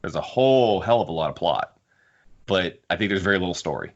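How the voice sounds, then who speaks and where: A man hosting a casual book discussion, halting as he repeats and rephrases himself. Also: low voice, lively intonation, lo-fi sound. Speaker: podcast host.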